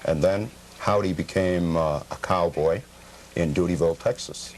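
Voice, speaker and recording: An American man with a low voice answers interview questions as a guest collector. He is factual and slightly formal, explaining things in a measured way. The sound is that of an old, slightly noisy television tape. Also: darkish tone. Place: television studio.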